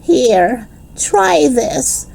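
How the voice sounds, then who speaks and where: A stern, scary old storybook grandmother, acted by a woman reader. The speech is grouchy and commanding, with slow, deliberate words and a strongly varied pitch. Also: big pitch swings, gravelly, a little mellow, exaggerated voice. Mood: curt.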